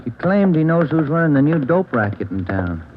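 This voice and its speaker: An American man playing a plain-spoken police detective partner in a vintage radio crime drama, brisk and businesslike. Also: a little high, very animated. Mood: brisk, concerned. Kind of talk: 1940s-50s radio police drama dialogue. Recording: tinny, noisy old radio recording.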